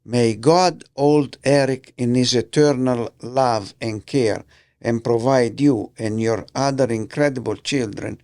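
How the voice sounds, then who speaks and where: A man with an Italian accent hosting a podcast, reading the paper aloud in a halting way, slipping and rereading words. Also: mid-range voice, darkish tone, clear, resonant tone, no room sound. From Italy. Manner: halting, slips and rereads words, many fillers. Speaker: podcast host. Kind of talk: casual solo podcast, reading newspapers aloud. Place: podcast studio. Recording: dry close studio sound.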